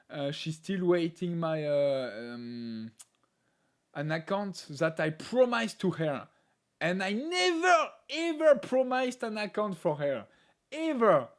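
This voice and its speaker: A man hosting a live stream, chatting casually in English with a non-native accent, laughing often and filling pauses with "uh". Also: on the high side. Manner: laughs often, talks with many "uh" fillers. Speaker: livestream host.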